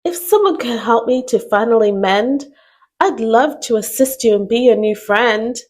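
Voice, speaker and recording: A woman's voice: a little high, moderate pace, a little mellow, lightly acted. A woman voicing a huge, sick baby boy dragon who is sorry and pleading, in a storybook read-aloud. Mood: apologetic.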